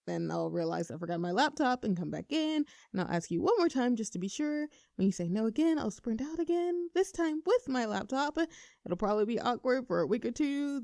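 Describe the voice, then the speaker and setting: A woman's voice: medium pitch, dark tone, no room sound, clean studio sound, close to an everyday voice. A woman acting a nervous, playful young woman confessing love to her best friend in an intimate, conversational audio roleplay, teasing and earnest.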